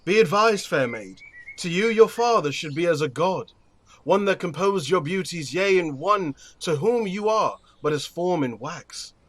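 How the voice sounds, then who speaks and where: A stately Athenian duke played by a man gives judgment in a Shakespearean audio play. His voice is notably high for a man, with formal, measured authority in each phrase. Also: expressive. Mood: grave, advising. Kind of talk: Shakespearean verse audio drama acting.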